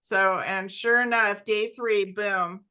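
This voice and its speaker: A woman's voice: mid-range voice, fairly even intonation, takes it slowly, sharp tone, clean tone. A woman co-hosting a casual podcast, telling stories in a chatty way with frequent giggles. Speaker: podcast co-host.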